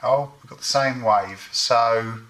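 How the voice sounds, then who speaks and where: A man's voice: medium pitch, slow pace, heavy background noise. A man giving hands-on repair commentary as host, thinking aloud in short, matter-of-fact phrases.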